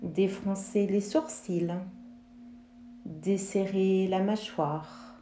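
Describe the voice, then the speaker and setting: A woman guiding a yoga nidra relaxation in a soothing, measured way, with pauses between short phrases. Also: mid-range voice, steady tone, mellow, a little hiss or hum. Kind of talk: guided relaxation meditation, instructing listeners. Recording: roomy, reverberant sound.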